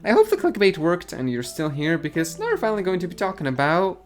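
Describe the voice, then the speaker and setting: Sardonic YouTube commentator, lively pitch. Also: male voice, fast talker, soft background music. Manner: dry, mocking, deadpan asides. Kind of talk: sarcastic commentary. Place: garage.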